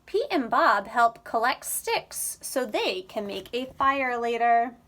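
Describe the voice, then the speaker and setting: Animated, slow-paced woman reading to children. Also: a little high. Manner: deliberate, animated storytelling for young children. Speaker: storybook reader. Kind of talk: expressive children's read-aloud.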